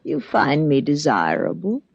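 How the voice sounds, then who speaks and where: An actress playing a proud, seductive femme fatale in a 1950s radio drama, with formal, theatrical, deliberate phrasing. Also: female voice, sits low, huge pitch swings, gravelly, slightly rough, dry recording, full character voice. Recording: muffled old radio recording.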